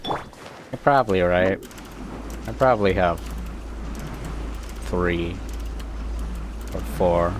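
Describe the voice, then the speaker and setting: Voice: deep voice, drawn-out words, long pauses, a little hiss or hum. A game streamer chatting casually and rambling to themselves and viewers while playing. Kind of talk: casual game livestream chat.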